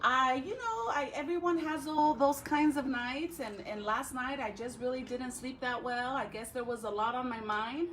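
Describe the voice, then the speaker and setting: A woman talking and reading aloud to young pupils as a school educator, with expressive, drawn-out phrasing. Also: high voice, slight background noise, poor audio. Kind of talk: read-aloud for children with chatty intro.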